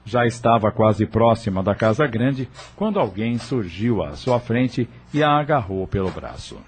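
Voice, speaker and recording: Low, lively Brazilian male narrator. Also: unhurried, a little hiss or hum. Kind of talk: scripted audiobook narration. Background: music.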